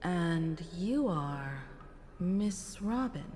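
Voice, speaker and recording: An actress playing a mature, gracious crew leader in a video game, calm, measured and diplomatic. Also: female voice, fairly low pitch, in a large hall, a crowd in the background. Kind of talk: scripted video game voice acting.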